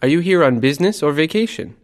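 Man reading dialogue, lively intonation. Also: on the high side, quick pace, big-room sound. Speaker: dialogue reader. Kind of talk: scripted textbook dialogue reading.

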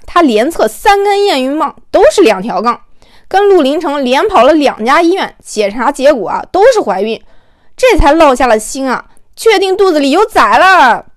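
A woman's voice: sits high, no echo, clean studio sound. A woman narrating an audiobook in a chatty, gossipy, playful storytelling style.

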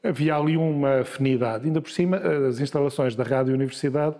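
A man in his sixties from Lisbon, telling his life story in a relaxed interview, pausing often with filler sounds. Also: mid-range voice. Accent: Lisbon Portuguese. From Portugal. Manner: unhurried, hesitant with many filler sounds and restarts. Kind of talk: conversational interview. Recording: long hall reverb.

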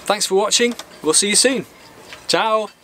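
A man's voice: high-pitched, a little slow, bright, forceful tone. A man in his thirties hosting an instructional sailing vlog, explaining things plainly to camera. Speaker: sailing channel host. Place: outdoors on a small boat in a marina. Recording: outdoor marina background noise.

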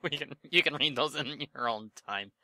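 A man's voice: medium pitch, moderate pace, pushed and bright, dry recording. A man chatting casually as a gaming co-host, jumping in with joking remarks. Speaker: gamer co-host commenting while playing.

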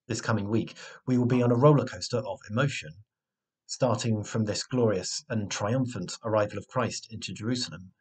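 A man's voice: on the low side, breathy edge. A man delivers a scripted sermon as a trainee preacher. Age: 20s. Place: small room indoors at home. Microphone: webcam at close range.

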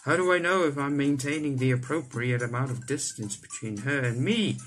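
A young man reads a visual novel out loud in a mid-range voice. His delivery is dramatic and he trips over the odd word. Light game music plays behind him. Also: mid-range voice, normal speed, slightly rough. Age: young adult. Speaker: gaming YouTuber reading a visual novel aloud. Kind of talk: animated read-aloud of visual novel text. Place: indoor room, on webcam.

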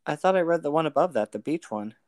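A man's voice: a little high, expressive, fast talker, a little mellow, dry recording, clean studio sound. A man reading a novel aloud and chatting casually with friends as a podcast co-host on a voice call. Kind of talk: casual chat and group read-aloud of a novel. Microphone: close mic on an online voice call.

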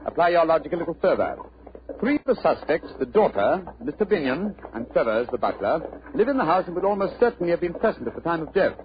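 Noisy old radio, brisk superior british detective, highish lively voice. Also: male voice, highs cut off. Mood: amused, assured. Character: brisk, confident English gentleman detective. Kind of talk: 1940s radio acting.

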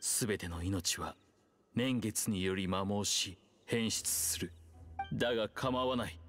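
An actor voicing an ancient male earth dragon speaking through a man's body, solemn, archaic and measured. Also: fairly low pitch, a bit bright, faint music behind. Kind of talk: Japanese game dub.

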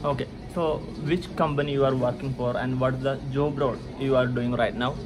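A young man asks questions in a relaxed vlog chat. His voice sits at an ordinary middle pitch, and he speaks politely with small pauses and fillers. He is recorded indoors in a lounge on a camera held close. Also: background noise. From India. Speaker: vlog host interviewing a colleague. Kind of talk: casual interview questions.

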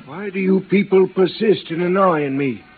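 A man's voice: high voice, normal speed, lightly acted. A man playing an irritable, frightened older shop owner in an old radio drama, speaking formally and curtly. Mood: annoyed. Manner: formal, curt, guarded. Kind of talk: 1950s radio drama acting. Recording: muffled, noisy old radio recording.

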